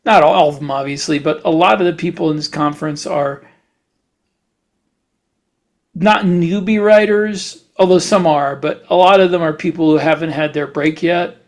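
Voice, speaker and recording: A man co-hosting a podcast in casual chat, talking in a halting, rambling way. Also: fairly high pitch. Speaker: podcast host; writer.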